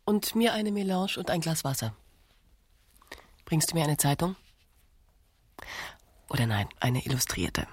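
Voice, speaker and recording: A woman playing a nervous, wry, stubborn companion in a radio play, with a husky, gravelly voice and deadpan, often near-whispered retorts. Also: low-pitched, unhurried, pauses often, heavily acted. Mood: wry, uneasy. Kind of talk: German-language radio play dialogue.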